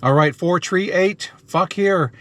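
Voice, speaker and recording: A man with a slightly high voice works an amateur radio from a car. He moves between casual vlog narration and clipped phonetic-alphabet calls. Clear background noise sits behind him. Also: unhurried. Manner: spells out call signs and numbers in phonetic alphabet. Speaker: amateur radio operator and vlogger. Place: inside a car, mobile radio setup.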